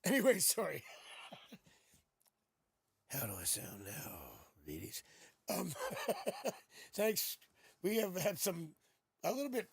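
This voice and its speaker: Raspy, gravelly man on a live stream. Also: sits high, no echo. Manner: playful and joking, chatty. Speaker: tarot reader hosting a live stream. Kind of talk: casual live-stream chat. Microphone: new studio microphone.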